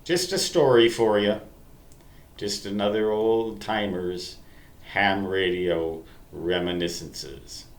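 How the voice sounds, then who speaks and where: A man in his sixties telling rambling radio-hobby reminiscences in a deliberate, emphatic way, wandering into asides. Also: on the low side. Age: 60s. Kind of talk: rambling storytelling monologue to listeners. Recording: roomy, echoey sound.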